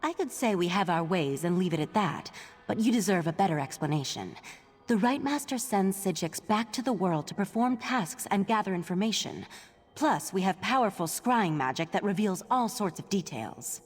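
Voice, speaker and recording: An actress playing an earnest, bookish young mage acolyte in a fantasy game, explaining things politely and conversationally. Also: female voice, medium pitch, animated, moderate pace, breathy edge. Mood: friendly, explanatory. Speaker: acolyte and cataloguer in a magical order. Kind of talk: scripted fantasy game dialogue. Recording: long hall reverb.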